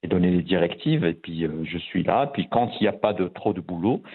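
A man's voice: sits low, animated, a little fast, breathy edge, narrow, tinny audio. A man in his fifties from Morocco, a guest talking about his work in a casual interview, with many fillers and restarts. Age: 50s. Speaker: guest, pharmacist and visual artist.

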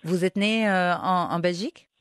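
A man's voice: high voice, moderate pace, a bit bright, clear, resonant tone, dry recording. A man interviewing a guest, asking questions hesitantly and searching for words.

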